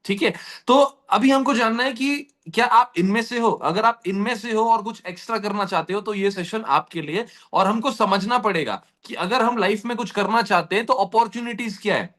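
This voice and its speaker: A man giving a motivational pitch directly to his online audience, repeating points for emphasis. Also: fairly quick, few pauses, a bit bright. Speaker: presenter of an earning opportunity session.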